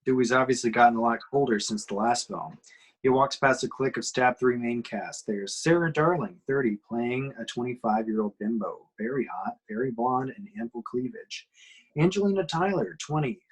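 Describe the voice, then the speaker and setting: A man narrates the action lines of a film script at a home table read. His voice is mid-pitched and noticeably husky. The delivery is scripted narration. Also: lively intonation, moderate pace, low-quality recording. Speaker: reader of stage directions.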